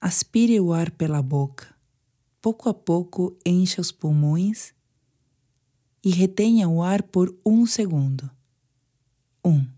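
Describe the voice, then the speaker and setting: A woman with a Brazilian Portuguese accent guiding a sleep meditation in a soothing, hushed manner with pauses. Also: on the low side, expressive. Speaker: meditation guide. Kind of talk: guided sleep meditation narration.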